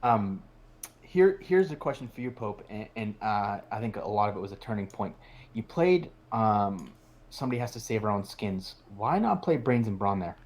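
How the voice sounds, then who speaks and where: A man's voice: on the low side, big pitch swings, moderate pace, darkish tone. A male commentator asking a player questions in a post-game discussion, rambling with many restarts.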